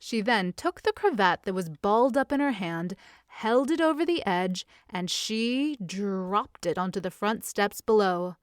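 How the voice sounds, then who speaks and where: A woman reading fan fiction aloud as an expressive, scripted story narration. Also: mid-range voice, measured pace, no echo, studio quality.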